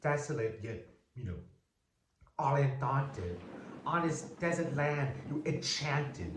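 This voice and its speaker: A man's voice: mid-range voice, lively intonation, slow pace, echoey room, recorded from a distance, a little hiss or hum. A man performing theatrical poetry readings in celebrity and character impressions, with exaggerated, dramatic delivery.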